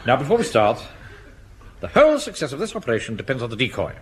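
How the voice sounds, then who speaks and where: Pompous English army captain, officious, exasperated, swooping highish voice, noisy old radio comedy. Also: male voice, wildly swooping pitch, fast talker. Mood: briskly instructing. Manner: officious, barking orders. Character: pompous middle-aged Home Guard captain, bossy and exasperated. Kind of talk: broadcast radio sitcom acting.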